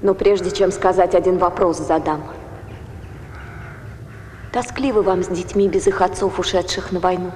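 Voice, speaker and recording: An actress playing a determined Greek wife who rallies women against war, in a theatrical film style. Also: female voice, lowish voice, steady tone, normal speed, pauses often, dark tone, natural voice. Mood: resolute. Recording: echoey hall, long reverb, background noise.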